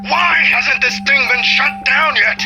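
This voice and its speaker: A man's voice: extremely high pitch, unhurried. A man playing an irate, impatient city official in an audio drama, barking orders. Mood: furious. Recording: music bed under the voice.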